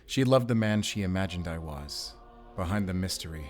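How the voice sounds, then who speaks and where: A man's voice: low-pitched, expressive, normal speed, darkish tone, faint music behind. A man playing a guarded, haunted and defiant werewolf hunter in a cinematic audio roleplay, speaking in a terse, solemn way. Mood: wistful. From Germany.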